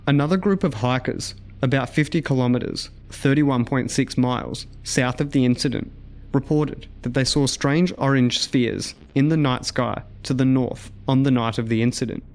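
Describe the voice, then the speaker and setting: A man's voice: mid-range voice, moderate pace. A man reading scripted documentary narration as a podcast narrator.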